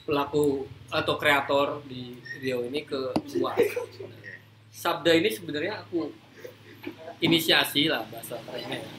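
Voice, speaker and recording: Man, higher-than-average voice, informal explainer on a noisy live-stream, drops English business words. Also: normal speed. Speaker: host, creative agency founder. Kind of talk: casual live talk, explaining. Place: room, live social media broadcast.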